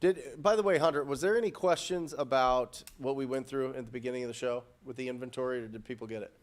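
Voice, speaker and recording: A man in his thirties hosting a live show from a studio, answering viewer questions in a lively, upbeat way with many restarts, speaking into a boom mic. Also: fast talker. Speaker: host. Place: studio with purple backdrop.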